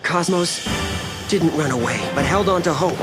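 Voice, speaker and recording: An earnest young hero, voiced by a man in a fantasy game. His voice is lightly high, sincere and resolute, with music playing behind him. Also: moderate pace, natural voice. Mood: earnest hope.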